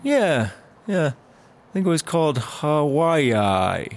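A man's voice: a little high, very animated, slow, heavily acted. A man playing a hesitant, easily swayed, sheepish squad-car partner in a comic audio drama. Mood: casual, sheepish.

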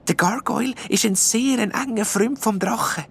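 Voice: mid-range voice, moderate pace, creaky, a bit bright, slightly rough, pitched above the performer's own voice. A storyteller voicing a shy purple elf who explains things hesitantly but eagerly.

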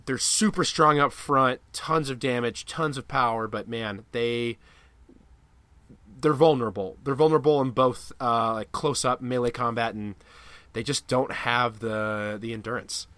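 Male podcast co-host, congested, crisp bright tone, dry booth sound, lore-explainer energy. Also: medium pitch, unhurried, no room sound. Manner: jokey, explains lore at length. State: stuffy nose. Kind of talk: casual chat.

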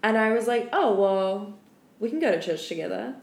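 A woman chatting casually as a podcast co-host, telling stories in an animated, slangy way and often laughing. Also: medium pitch, normal speed, creaky. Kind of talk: casual chat, storytelling. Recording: roomy, echoey room.

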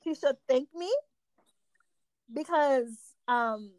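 A woman's voice: high voice, takes it slowly, darkish tone, no room sound, rough recording. A young woman chatting casually as she tells her side of a story, talking in slang and often restarting her sentences.

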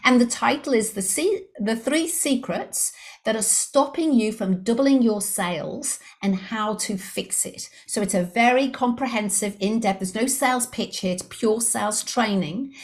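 A woman's voice: on the high side, a little slow. A middle-aged woman from Liverpool, England, with an English accent blended with Australian, explaining sales techniques upbeat as a podcast guest. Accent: English mixed with Australian. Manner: upbeat, explanatory, acts out inner voices. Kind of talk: podcast interview.

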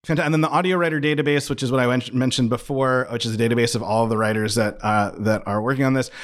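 A man's voice: mid-range voice, steady tone, fast talker. A man chatting casually as a podcast guest, in a rambling, jokey way. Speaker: podcast guest, writers' guild organizer. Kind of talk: casual interview chat.

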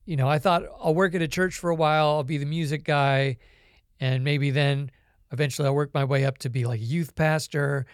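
A Canadian man, a podcast guest, telling his life story in a relaxed, reflective way with many small pauses. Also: on the high side, clear, resonant tone, no echo, clean studio sound. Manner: reflective storytelling with frequent ums. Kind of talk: conversational interview.

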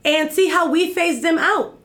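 Woman in her 30s, high voice, keeps saying right? to drive points home. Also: moderate pace, fairly bright tone. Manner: emphatic, repeats herself with lots of "right?" checks. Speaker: guest, executive director of an activist group. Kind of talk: casual interview conversation.

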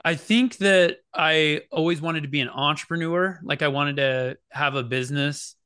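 A man's voice: on the high side, moderate pace, sharp tone, clean tone, no echo, studio quality. A man from Oregon, a business founder, telling stories easily as a guest on a podcast, with some fillers. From Oregon, United States. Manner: easygoing storytelling with fillers. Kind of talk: casual interview chat.

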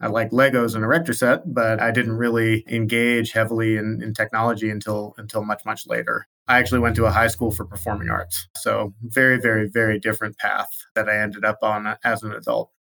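A man's voice: fairly low pitch, little pitch movement, brisk, gravelly, few pauses, sharp tone, poor audio. A man from Baltimore answering interview questions in a reflective way, often restarting his sentences. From Baltimore, Maryland. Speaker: guest.

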